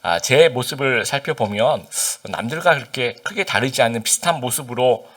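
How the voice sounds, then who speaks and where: A man's voice: mid-range voice, animated, normal speed, fairly bright tone. A man who is a pastor from Busan, with a slight Busan accent under standard Seoul speech, giving a conversational sermon full of fillers and questions to the congregation. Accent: slight Busan dialect under standard Seoul Korean. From Busan, South Korea.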